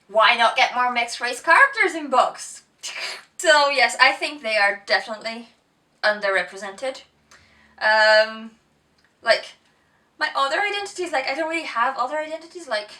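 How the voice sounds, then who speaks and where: A woman with a blended Brazilian, American, English and Northern Irish accent talks to camera in a chatty, giggly way, full of ums and self-corrections. Her voice is bright and a little high, and she sits some way back from the mic. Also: recorded from a distance. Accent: mixed Brazilian, American, English and Northern Irish. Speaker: book vlogger. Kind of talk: casual chat to camera.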